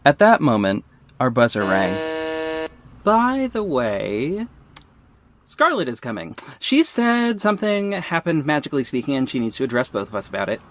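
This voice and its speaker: A man's voice: medium pitch, wildly swooping pitch, normal speed, a bit bright, slight background noise. A man playing a wry, self-aware superhero who narrates his own story in a scripted audio drama. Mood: descriptive, lightly wry.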